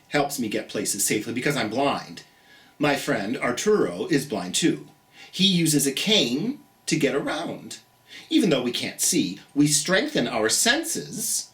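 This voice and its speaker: A storytime host reads to children in a mid-pitched voice that rises and falls dramatically. The delivery is slow and encouraging, as if speaking straight to young listeners. The room adds a noticeable echo. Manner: expressive, encouraging, asks questions of young listeners. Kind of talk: animated read-aloud storytime for children. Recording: roomy, echoey sound.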